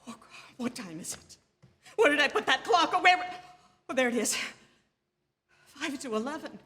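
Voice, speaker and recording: A high-pitched, frantic, imperious wife. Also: female voice, a bit bright, full character voice. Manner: imperious, breathless exclamations, frantic repetition. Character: nervous, demanding married woman, panicked and imperious. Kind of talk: theatrical radio suspense acting. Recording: live theatre stage, long reverb, noisy.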